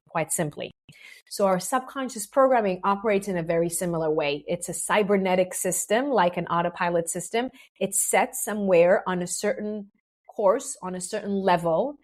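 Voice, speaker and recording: A woman with an Israeli accent, a native Hebrew speaker, talking earnestly as a podcast guest and explaining ideas through analogies, often restarting phrases and checking in with "right?". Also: mid-range voice. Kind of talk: explanatory interview talk.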